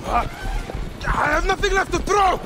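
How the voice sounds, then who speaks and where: An actor playing a vengeful, resolute Egyptian warrior in a video game, solemn and intense. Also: male voice, very high-pitched, expressive, moderate pace, long reverb tail, music in the background. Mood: urgent. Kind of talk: dramatic video game acting.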